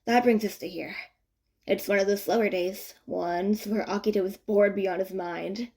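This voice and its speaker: A woman reading fan fiction as an audiobook narrator, expressive and conversational with playful emphasis. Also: mid-range voice, normal speed, husky. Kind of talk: expressive scripted story narration.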